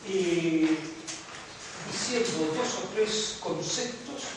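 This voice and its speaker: Older man, Canarian accent, higher voice, reflective rambling lecture, long hall echo, noisy. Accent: Canarian Spanish with Latin American mixing. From Canary Islands. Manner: reflective, discursive, frequent fillers and asides. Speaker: economics professor giving a lecture. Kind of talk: informal university lecture. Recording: distant echoey hall with noise.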